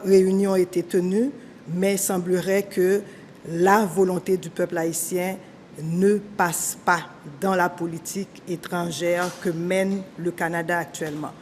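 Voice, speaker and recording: A woman hosting a commentary show, speaking in a slow, deliberate way. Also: fairly low pitch, a little mellow, slight background noise. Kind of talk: measured spoken commentary.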